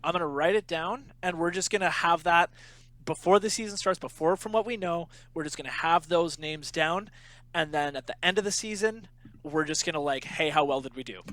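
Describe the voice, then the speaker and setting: Male podcast co-host, bright and somewhat high voice, joking and sarcastic. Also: fairly quick. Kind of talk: casual chat.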